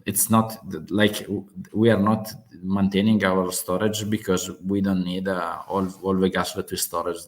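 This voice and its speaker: A man's voice: low-pitched, little pitch movement, moderate pace, few pauses. A man from Italy with a strong Italian accent, hosting a casual live chat about world affairs. He speaks in English with many fillers and restarts. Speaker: host of live geopolitics chat. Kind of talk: casual live-stream talk.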